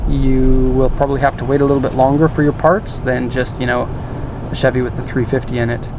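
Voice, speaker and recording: Rambling man vlogging over loud traffic. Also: medium pitch, flat delivery, a little fast. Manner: rambling, chuckles, self-corrects. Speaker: car exporter answering viewer questions. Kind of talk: casual vlog Q&A. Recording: loud outdoor traffic noise.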